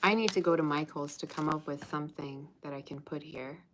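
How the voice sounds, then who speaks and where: Low-voiced chatty woman tarot reader. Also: brisk, a little hiss or hum, rough recording. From Russia. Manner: chatty, teasing, sings snatches of old songs. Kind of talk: livestream chat and tarot reading.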